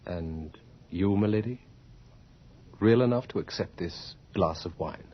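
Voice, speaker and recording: An actor playing a courtly, devoted gentleman confidant in an old-time radio drama, formal and solicitous. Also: deep voice, unhurried, breathy edge. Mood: wary, courteous. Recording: dull old radio recording under music.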